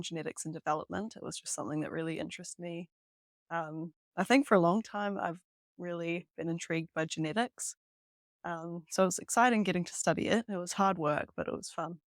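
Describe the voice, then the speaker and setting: A woman's voice: fairly low pitch, dry recording. Woman, twenties, New Zealand accent, casual, laughs easily, dry room. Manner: chatty, lots of "um" and "like", laughs easily. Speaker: podcast guest, hiking guide. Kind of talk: casual interview chat. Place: hostel room.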